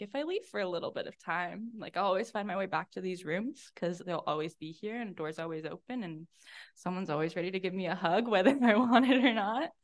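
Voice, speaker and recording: Young woman sharing candidly. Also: fairly low pitch. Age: young adult. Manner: candid, conversational, frequent "like". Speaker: speaker at a support-group meeting. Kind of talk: informal personal testimony.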